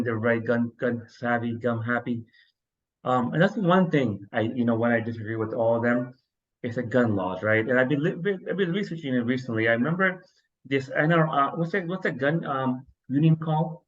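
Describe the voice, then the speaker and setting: A man's voice: medium pitch, highs cut off. A man hosting a casual podcast, rambling and restarting his sentences a lot. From New York, US. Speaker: podcast host.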